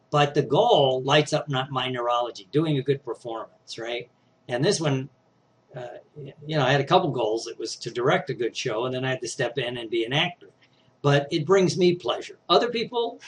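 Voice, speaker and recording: A middle-aged man with a slightly scratchy voice, teaching success skills in a casual, conversational talk that he often punctuates with "right?". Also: mid-range voice. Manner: conversational, frequent "right?" and "you know" tags. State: scratchy voice, clearing throat.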